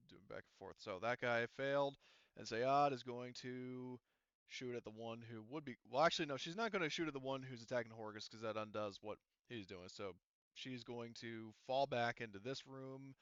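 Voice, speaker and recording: A man acting as game master in an online roleplaying session, thinking aloud and haltingly working through rules and dice. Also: medium pitch, a bit bright, clean tone, dry recording, lo-fi sound.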